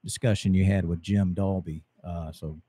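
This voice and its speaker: A man hosting a radio interview, asking easygoing questions, his voice getting hoarse. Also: low voice, steady tone, moderate pace, dark tone. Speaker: radio show host. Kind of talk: casual interview chat.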